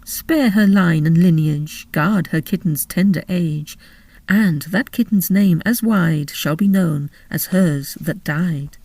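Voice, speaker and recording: A woman giving an expressive, theatrical reading of literary narration and verse. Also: fairly low pitch, slow, no room sound. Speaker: narrator and poetry reader. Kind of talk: literary narration and dramatic recitation.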